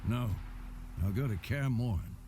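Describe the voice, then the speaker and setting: An actor playing a seasoned, cautious monster-hunter mentor in a fantasy game, gravelly and measured, with clipped phrasing. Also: male voice, medium pitch, background noise, close to an everyday voice. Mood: wary.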